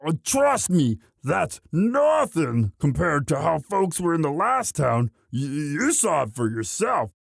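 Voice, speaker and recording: A man playing a young orc, a gentle himbo sweetheart who talks in a halting, stammering, bashful and earnest way in a romantic roleplay audio. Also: a little high, very animated, vocal fry, husky, no echo, clean studio sound, full character voice. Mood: earnest, reassuring.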